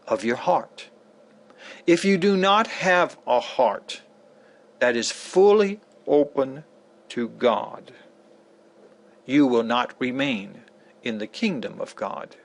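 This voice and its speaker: A man playing a solemn, admonishing teacher from the Gospels, reading a lecture dramatically with deliberate pauses. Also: medium pitch. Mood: solemn, measured.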